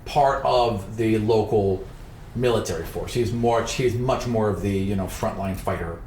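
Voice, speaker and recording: A man's voice: lowish voice, unhurried, darkish tone, a little hiss or hum. A man working as dungeon master, narrating a tabletop game with dramatic, explanatory storytelling.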